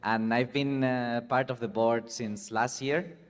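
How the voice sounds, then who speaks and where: A man's voice: mid-range voice, steady tone, a little slow, smooth. Board member, male, from Spain, modest, hall reverb.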